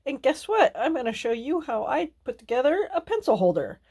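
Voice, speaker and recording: A woman's voice: high voice, big pitch swings, a little fast, darkish tone, slightly rough. A woman hosting a casual step-by-step craft tutorial, chatty and informal as she talks to viewers. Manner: chatty, informal, addresses viewers as you guys. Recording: distant mic, faint background noise.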